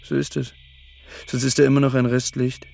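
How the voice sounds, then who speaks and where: An actor playing a brooding, desperate young son in a radio drama, speaking in terse, fragmented phrases. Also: male voice, medium pitch, little pitch movement, moderate pace, vocal fry, a little mellow, slight background noise, natural voice. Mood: despairing, brooding.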